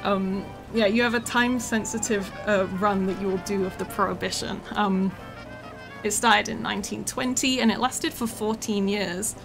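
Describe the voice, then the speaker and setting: A woman's voice: mid-range voice, steady tone, normal speed, vocal fry, rough recording. Woman chatting on a game stream, lots of ums, voice going a bit, music playing behind. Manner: chatty, with many ums and laughs. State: slightly losing her voice. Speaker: game developer streaming gameplay. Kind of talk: casual live-stream chat.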